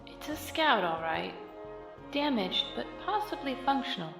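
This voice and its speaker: A woman's voice: fairly high pitch, sing-song, unhurried, vocal fry, exaggerated voice. A woman playing a curious, keen young Jedi in an audio comic drama. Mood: keen, focused. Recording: distant, echoey reverb. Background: music.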